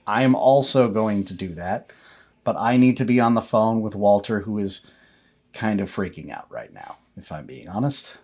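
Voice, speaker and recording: A man's voice: fairly low pitch, lively intonation, moderate pace, a little mellow. A man playing a worried, stern but loving father of a teenage girl in a tabletop game, reasoning hesitantly. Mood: reassuring, evasive.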